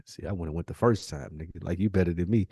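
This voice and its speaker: A man's voice: low-pitched, quick pace, mellow, slightly rough, no echo, clean studio sound. A man co-hosting a casual podcast, talking in slang and pressing his points with repetition. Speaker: podcast co-host.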